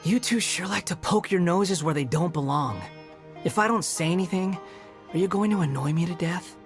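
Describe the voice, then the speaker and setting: A man's voice: high voice, moderate pace, over music, lightly acted. Man playing a sardonic hat-wearing young man. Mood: dismissive. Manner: mocking, dismissive, evasive. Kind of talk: video game cutscene dialogue.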